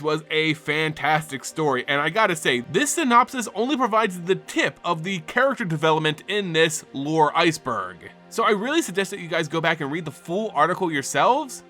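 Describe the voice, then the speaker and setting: A man's voice: fairly high pitch, sharp tone. A man reading scripted fantasy lore as a host and narrator, with a theatrical, earnest storyteller delivery. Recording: music behind the voice. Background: background music.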